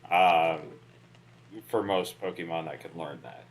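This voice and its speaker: A man with a booming voice co-hosting a casual gaming podcast over a remote call, explaining things at length. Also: unhurried. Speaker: podcast co-host. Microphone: better home microphone over a remote call.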